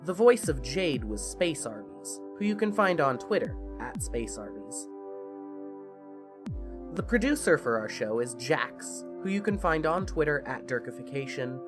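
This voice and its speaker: An expressive narrator reads scripted fiction for a podcast in a measured storytelling manner. Faint music plays behind the voice. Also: mid-range voice.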